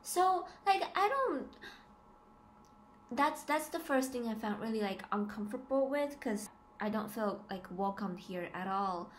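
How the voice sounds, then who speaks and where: A woman's voice: a little high, animated, unhurried. Young woman vlogging over background noise. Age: 20s. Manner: hesitant, emphatic, repeats words as she goes. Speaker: student living abroad, vlogger. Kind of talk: casual confessional talk to camera. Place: small plain room indoors. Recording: noisy home camera recording.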